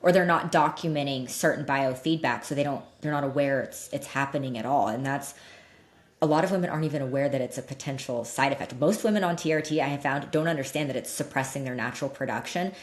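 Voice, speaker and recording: A woman with a slightly deep voice, speaking as an expert guest in a candid, informal, emphatic way, explaining things in an interview conversation. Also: quick pace. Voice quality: slightly deep voice that cracks when loud. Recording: roomy echo.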